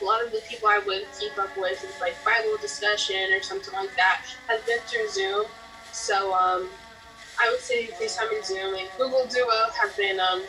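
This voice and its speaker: Bright, lively eighteen-year-old woman. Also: mid-range voice, recorded from a distance, poor audio. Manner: chatty, many fillers, giggly. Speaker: journalism student. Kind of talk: casual interview answers.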